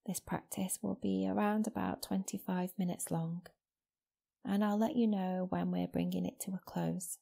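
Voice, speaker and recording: Softly creaky, even-toned woman guiding meditation. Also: fairly low pitch. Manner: slow, soothing, gentle instruction with long pauses. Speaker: yoga nidra teacher. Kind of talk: guided meditation. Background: new-age music.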